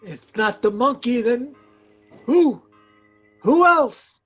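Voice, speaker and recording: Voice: mid-range voice, animated, takes it slowly, a bit bright, husky, dull audio, faint music behind, full character voice. An actor playing a frightened, flustered working-class night watchman who stammers, in old-time radio drama style. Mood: shaken, alarmed. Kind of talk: 1940s radio detective drama acting.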